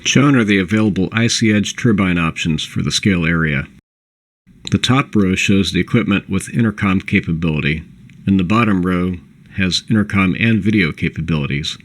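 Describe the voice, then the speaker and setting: A man reading a scripted corporate product presentation in a measured, instructional way. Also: sits low. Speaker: presenter of a company product overview.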